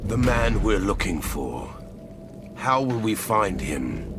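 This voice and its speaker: A man's voice: fairly low pitch, big pitch swings, slow, heavily acted. A man playing a grim, blunt warrior in a video game, terse and cold in his delivery. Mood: cool, practical. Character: grim, blunt warrior freed from prison, deep-voiced man. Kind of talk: video game cutscene acting. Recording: loud music over the voice.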